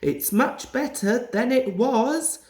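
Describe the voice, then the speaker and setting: Woman as a kindly, steady little steam engine. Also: a little high, slow, pitched above the performer's own voice. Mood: reassuring. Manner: reassuring, firm. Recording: roomy, echoing home recording.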